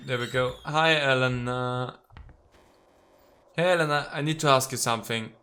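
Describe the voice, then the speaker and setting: A man's voice: mid-range voice, normal speed, pushed and bright, clear, resonant tone. A man giving casual, playful let's play commentary, slipping into theatrical readings of game text and talking to the characters.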